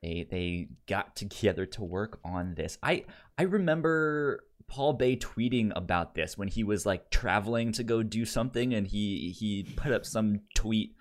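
Male podcast co-host, lowish voice, stuttering delivery, loose conversational review style. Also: animated. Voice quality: stutters, repeating sounds and syllables. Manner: halting, informal, conversational. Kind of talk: casual podcast review chat.

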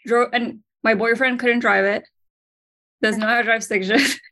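A woman from the United States chatting as a podcast co-host, telling stories quickly with frequent fillers. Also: fairly high pitch. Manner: rapid, animated storytelling with many fillers and laughs. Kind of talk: casual chat.

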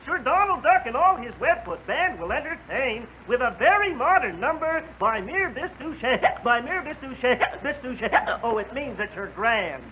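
An actor playing a ceremonious, bungling royal town crier making grand proclamations in old-time radio comedy. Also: male voice, extremely high pitch, big pitch swings, measured pace, pauses often, background noise, exaggerated voice. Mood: grand, amused. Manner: proclaiming, stretched-out grand announcements. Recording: muffled old radio, loud music behind.

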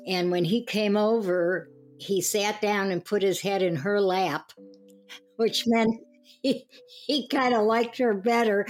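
A woman's voice: medium pitch, a little mellow. A woman in her eighties from the United States, talking candidly and casually about her own life. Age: 80s. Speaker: discussion participant. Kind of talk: casual personal reminiscence.

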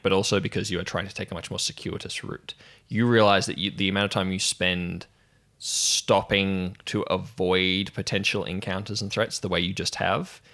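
A man acting as game master, narrating a tabletop roleplaying game in measured, descriptive phrases with mid-sentence pauses. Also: low voice, vocal fry, sharp tone.

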